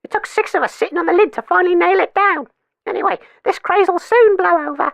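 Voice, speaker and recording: This is a grumpy, dismissive lady commentator who grumbles at everything, as a man puts her on. The voice sits very high in a falsetto, and the sound is dry and close. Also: quick pace, vocal fry, a bit bright, no echo, exaggerated voice. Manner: dismissive, tutting. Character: grumpy woman, old-fashioned social commentator.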